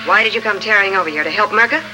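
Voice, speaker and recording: An actor playing a suspicious, coolly polite doctor in an old radio drama, with a measured, arch, formal manner. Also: male voice, high voice, noisy background, natural voice. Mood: questioning. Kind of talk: 1950s radio drama acting.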